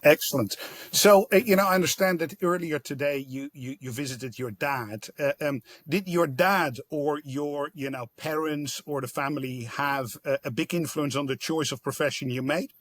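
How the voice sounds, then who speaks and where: Higher-pitched man hosting a podcast. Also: normal speed, fairly bright tone, no echo, clean studio sound. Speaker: podcast host. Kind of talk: casual interview.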